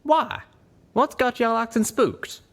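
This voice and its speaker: A man's voice: very high-pitched, slow, voice pushed up, full character voice. A man voicing an easygoing male pegasus mechanic with folksy, drawling phrasing, in a storybook reading. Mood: easygoing, curious.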